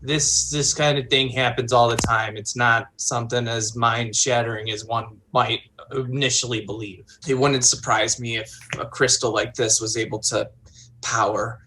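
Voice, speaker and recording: A man's voice: medium pitch, fairly even intonation, normal speed, pushed and bright, a little hiss or hum, rough recording. A man talking casually, partly in character, as a player in a tabletop roleplaying game.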